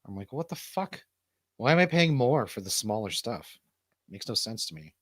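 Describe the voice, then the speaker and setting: A man's voice: medium pitch, very animated, normal speed, fairly bright tone, no room sound, clean studio sound. A man hosting a casual livestream chat, laid-back, slangy and rambling. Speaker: host of a livestream show.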